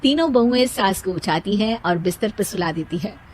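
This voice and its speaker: A woman's voice: medium pitch, animated, moderate pace, darkish tone, husky. A woman narrating a scripted moral story for children as the storyteller.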